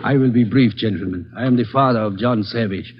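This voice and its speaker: A bitter, husky old father speaking broken English. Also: lowish voice. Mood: grave, bitter. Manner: halting, broken English, grave and slow. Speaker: grieving father. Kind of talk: 1940s radio acting. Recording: dull 1940s radio recording.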